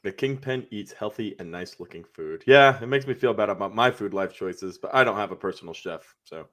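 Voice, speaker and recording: A man around thirty co-hosting a casual watch-party chat, playful and quick to laugh, with dark jokes dropped in. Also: on the low side. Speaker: co-host.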